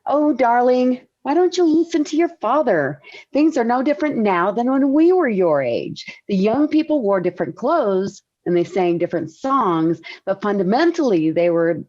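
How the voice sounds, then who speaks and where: Calm, wry mother on muffled old radio. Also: a little high, normal speed. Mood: wry, reasoning. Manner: soothing, gently firm. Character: calm, wry, quietly managing mother and wife. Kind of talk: 1940s radio sitcom acting.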